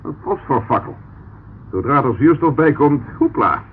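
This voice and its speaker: An actor playing a sardonic, dogged police inspector in a radio drama, mocking and needling with mock politeness. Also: male voice, mid-range voice, animated, normal speed, lightly acted. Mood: taunting. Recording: tinny, noisy old radio play recording.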